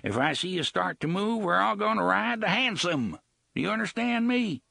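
Un hombre que interpreta a un viejo pirata lascivo y amenazante, que canturrea con jerga anticuada. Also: male voice, fairly high pitch, wildly swooping pitch, a little slow, gravelly, sharp tone, breathy edge, no echo, clean studio sound, pitched above the performer's own voice, heavily acted. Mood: menacing, crooning. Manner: crooning, wheedling, with old-fashioned slang. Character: leering old male pirate, menacing and sly.

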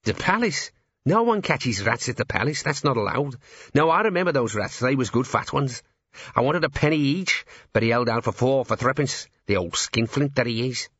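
A man voicing a tiny, cocky, belligerent gnome rat-catcher in an audiobook, with rough, slangy, combative speech. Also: mid-range voice, animated, normal speed, a bit bright, dry recording, highs cut off. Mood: boastful, insistent. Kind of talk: character voice in audiobook narration.

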